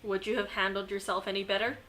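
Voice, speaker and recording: A woman chatting casually and enthusiastically as she plays a video game, excitable and giggly in manner. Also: mid-range voice, quick pace. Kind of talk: casual gameplay commentary, chatting.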